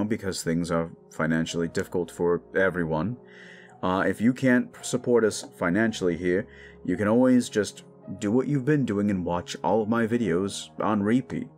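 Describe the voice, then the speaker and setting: A man giving an informal, rambling outro to his listeners as the creator of an audio drama. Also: fairly low pitch. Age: adult with young child. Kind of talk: casual outro chat to listeners.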